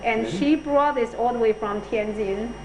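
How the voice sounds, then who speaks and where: A woman with a higher voice chats casually and explains things to visiting guests. The room is echoey, with steady noise behind her. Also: measured pace. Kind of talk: casual conversation.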